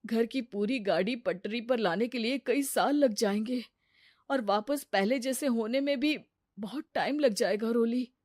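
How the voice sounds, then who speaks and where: A woman's voice: on the high side, unhurried, darkish tone, no echo, studio quality. A woman voicing a worried young husband in a cartoon story. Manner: worried, troubled.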